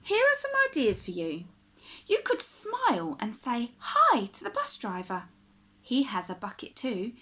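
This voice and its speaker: A woman with a fairly high voice reads a picture book aloud for young children. Her pace is unhurried and her pitch swings widely from phrase to phrase. She phrases deliberately and expressively, in the manner of a primary school teacher. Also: wildly swooping pitch, pushed and bright, a little hiss or hum.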